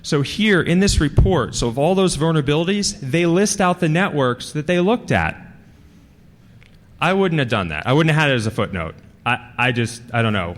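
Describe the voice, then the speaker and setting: A man giving an informal technical talk as a conference speaker, with a halting, rambling, conversational delivery. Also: fairly high pitch, expressive. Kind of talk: informal technical presentation to an audience. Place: large conference hall, speaking to a live audience. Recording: reverberant hall sound.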